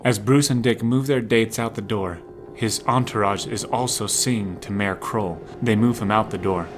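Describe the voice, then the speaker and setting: A man's voice: lowish voice, normal speed. A man narrating a scripted audio drama in the present tense. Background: music.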